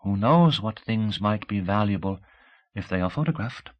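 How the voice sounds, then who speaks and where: A male narrator voicing a candid, shrewd young woman in her early twenties who speaks softly and asks pointed questions in an audiobook. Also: fairly low pitch, lively intonation, normal speed, lo-fi sound, natural voice. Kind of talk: audiobook character voice.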